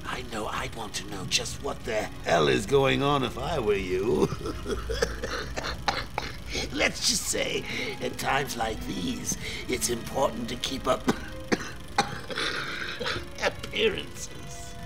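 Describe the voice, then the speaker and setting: An actor voicing a manic, sadistic clown supervillain in a video game, with a theatrical, mocking showman's delivery and a gravelly, cackling voice. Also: mid-range voice, animated, takes it slowly, pauses often, over music, poor audio. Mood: sly.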